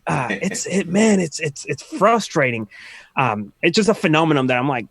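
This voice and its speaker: A man appearing as a musician guest on a podcast, talking frankly in an animated, casual way and swearing freely. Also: fairly high pitch, normal speed, gravelly.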